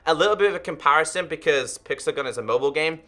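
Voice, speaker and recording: A man's voice: fairly high pitch, lively intonation, very fast, bright, forceful tone. A man in his twenties, a gaming YouTuber talking earnestly and directly to his viewers. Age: 20s. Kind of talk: direct-to-camera commentary.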